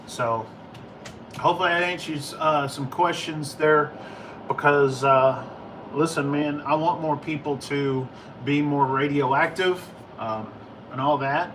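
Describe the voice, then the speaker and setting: An American man chatting casually to livestream viewers in a rambling, halting, informal way. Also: on the high side, a bit bright, distant mic, a little hiss or hum, low-quality recording. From United States. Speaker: livestream host, ham and CB radio hobbyist. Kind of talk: casual livestream chat answering viewer questions.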